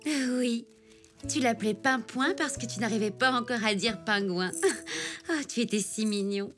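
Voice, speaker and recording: A woman voicing an affectionate zebra mother in a French preschool cartoon, tender and expressive. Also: fairly high pitch, unhurried, faint music behind, lightly acted.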